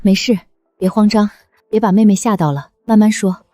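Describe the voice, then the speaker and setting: An actress playing a steady, reassuring woman in a family drama, lightly chiding in manner. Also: medium pitch, normal speed, no room sound, close to an everyday voice. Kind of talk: scripted drama acting.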